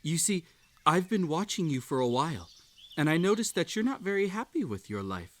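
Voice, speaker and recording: A man's voice: on the high side, big pitch swings, brisk. A man playing an unhinged, possessive bunny hosting a sinister tea party in a roleplay audio drama, coaxing and falsely polite. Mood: coy, coaxing. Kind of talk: scripted roleplay audio drama addressed to the listener.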